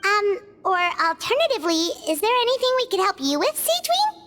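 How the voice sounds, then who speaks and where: A woman voicing an excitable, outspoken little fairy-like companion girl in a game. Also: very high-pitched, brisk, full character voice. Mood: chirpy. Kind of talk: game voice acting.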